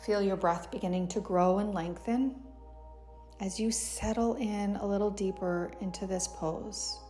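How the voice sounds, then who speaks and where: A woman guiding a yoga meditation in a soothing, gentle, unhurried way, as a teacher giving calm instructions. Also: medium pitch, faint music behind. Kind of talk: guided meditation narration.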